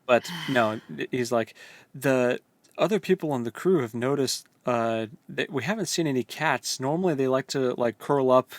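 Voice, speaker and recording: A man's voice: medium pitch, normal speed, no echo. A man co-hosting a book podcast, chatting casually and retelling scenes in an informal, jokey way with acted-out dialogue. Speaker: co-host of a book read-along podcast. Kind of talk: casual book discussion chat.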